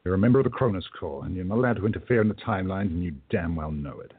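An actor playing a weary, principled old curmudgeon, a time-travelling bookseller in a radio play, gruff but earnest. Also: male voice, low voice, expressive, very fast. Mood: stern, defiant. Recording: tinny phone-like line.

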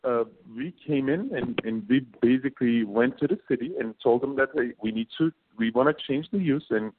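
A man in his late thirties with a Turkish accent, a business co-founder speaking as a guest in a casual interview, halting, with many fillers and repeated words. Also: medium pitch. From Turkey. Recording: phone-like narrow band.

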